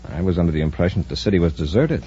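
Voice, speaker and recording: Suspicious space captain, deep voice, talks fast, old radio drama, muffled with hum. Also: male voice, animated, warm tone, slightly rough, heavily acted. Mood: skeptical. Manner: brisk, authoritative, probing. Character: commanding, suspicious male space patrol commander. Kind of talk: 1950s radio serial acting.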